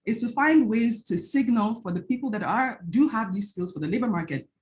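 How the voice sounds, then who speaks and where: A woman's voice: fairly high pitch, expressive, a little fast, a little mellow. A woman from Nigeria with a Nigerian accent giving an earnest academic panel talk on a video call. She often checks in with "right?" and restarts her phrases as she thinks. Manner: earnest, frequent "right?" tag questions and restarts. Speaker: panel speaker, researcher.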